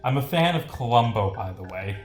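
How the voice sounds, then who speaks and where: A man's voice: medium pitch, animated, fairly quick. Male game developer on stream, off-the-cuff and rambling; roomy sound over music. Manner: rambling, thinking out loud. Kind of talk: casual livestream commentary, reading script lines aloud.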